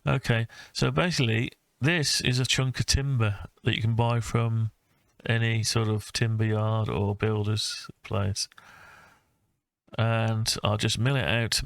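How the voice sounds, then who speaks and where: A man talking through his own design project informally, thinking aloud with hesitant, halting phrases. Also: on the low side, flat delivery, creaky, no room sound. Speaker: hobbyist maker presenting own design project. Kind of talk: informal screen-recorded CAD design walkthrough.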